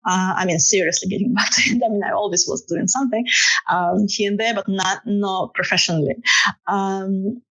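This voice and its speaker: A woman's voice: mid-range voice, steady tone, normal speed, a bit bright, low-quality recording. Russian-accented woman, hesitant and laughing. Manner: hesitant with many fillers, laughs often. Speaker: photographer guest. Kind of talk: casual interview answers.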